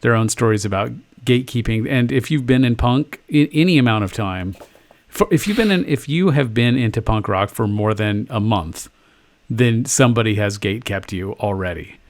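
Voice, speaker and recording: A man's voice: fairly low pitch, moderate pace. A man co-hosting a podcast in casual chat, joking and swearing freely, often trailing off into fillers. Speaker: podcast co-host.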